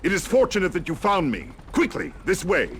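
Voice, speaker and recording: A man's voice: high voice, very animated, brisk. A man playing an urgent, purposeful resistance ally in a video game, speaking in formal phrases. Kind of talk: video game cutscene acting. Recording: loud gunfire and battle noise.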